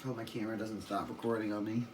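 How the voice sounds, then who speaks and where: A man with a raspy voice rambling through a casual, off-the-cuff demonstration, correcting himself and losing his train of thought. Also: on the low side, flat delivery, fast talker, distant mic, noisy background. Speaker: ceiling fan collector demonstrating a fan. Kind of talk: casual off-the-cuff video narration.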